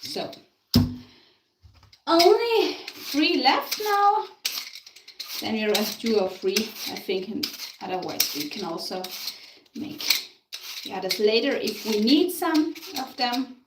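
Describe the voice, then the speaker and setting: Austrian woman baking on a live stream, chatty, answers comments, bit high voice, mic far off, pots and cutlery clinking. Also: very animated, slow, long pauses, very noisy. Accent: Austrian German. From Tyrol, Austria. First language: German. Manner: chatty, answers viewer comments, laughs often. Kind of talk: casual live-stream chat while baking. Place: home kitchen. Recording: echoey kitchen, mic far off. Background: clinking dishes and cutlery.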